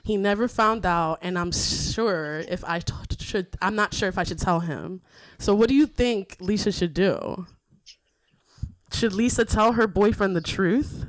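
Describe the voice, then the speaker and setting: A woman's voice: mid-range voice, unhurried, dry recording. Playful woman hosting a podcast. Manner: playful, laughs often. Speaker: podcast host. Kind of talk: casual podcast chat.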